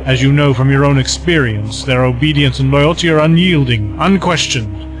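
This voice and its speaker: A man's voice: medium pitch, close to an everyday voice. Man voicing a scheming villain, smug, cold, commanding, music bed. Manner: smug, menacing, measured. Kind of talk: fan audio drama. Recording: music bed behind voices.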